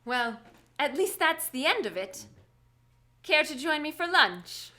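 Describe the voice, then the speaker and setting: An actress playing a poised, bold socialite and masked heroine in a radio drama, composed and a little arch. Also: female voice, high-pitched, very animated, a little slow, bright, forceful tone, exaggerated voice. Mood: sociable, coy. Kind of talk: 1940s-style radio drama acting.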